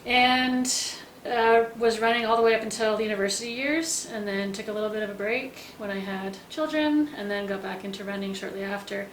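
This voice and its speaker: A woman in her forties from Quebec, a guest telling her story in a relaxed, matter-of-fact way with light humor. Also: medium pitch, fairly quick. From Quebec, Canada. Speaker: guest, endurance athlete. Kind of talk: casual interview chat. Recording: echoey room with faint noise.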